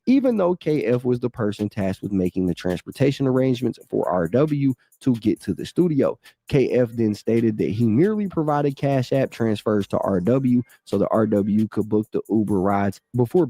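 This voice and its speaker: Man reading a court filing, mellow. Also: medium pitch, no room sound. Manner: steady, measured reading with occasional emphasis. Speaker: commentator reading a court document. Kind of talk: reading a legal filing aloud with brief asides.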